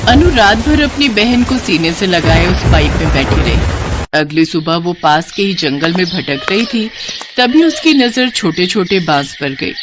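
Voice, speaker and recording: A woman's voice: fairly low pitch, animated, unhurried, background noise. Emotive woman narrating a cartoon story.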